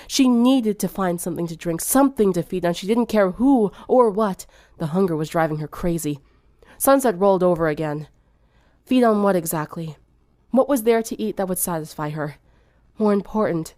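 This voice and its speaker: Female story narrator, animated delivery, medium pitch, dry studio-like sound. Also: dry recording. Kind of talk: dramatic fan fiction reading.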